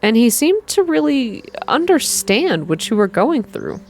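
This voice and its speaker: Woman putting on a friendly, higher-pitched young companion. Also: sing-song, measured pace, a little mellow, slight background noise. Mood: chatty.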